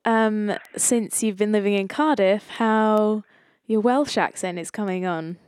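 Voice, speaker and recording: A woman's voice: mid-range voice, measured pace, darkish tone, clean tone. A woman hosting a casual phone chat, friendly and enthusiastic, giggling now and then. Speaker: podcast host.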